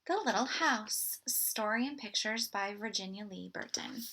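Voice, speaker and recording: A woman reading a picture book aloud to children in an expressive, deliberate way. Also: medium pitch, very noisy. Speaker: storybook read-aloud presenter.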